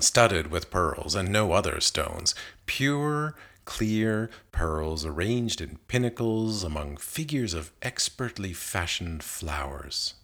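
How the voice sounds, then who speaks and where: A man reading a narrative poem aloud as a scripted literary recitation, in a measured and carefully enunciated manner. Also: low voice, fairly bright tone. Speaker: poetry reader.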